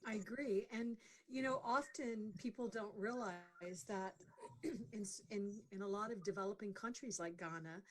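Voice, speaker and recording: A woman's voice: medium pitch, unhurried, a little hiss or hum, low-quality recording. A woman hosting an interview, talking emphatically and repeating words for stress. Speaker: host. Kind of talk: interview chat.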